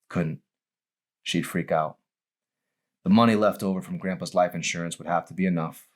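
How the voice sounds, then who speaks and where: Guy with a low voice reading his own novel out loud, dramatic storytelling. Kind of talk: dramatic audiobook narration.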